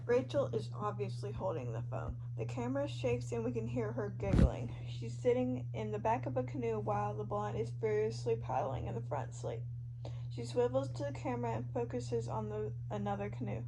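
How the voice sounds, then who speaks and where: A woman reading fan fiction aloud in an informal, expressive way, stumbling over the odd word. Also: a little high. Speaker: amateur podfic reader. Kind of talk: expressive fan fiction reading of social media posts and narration. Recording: noisy amateur home recording.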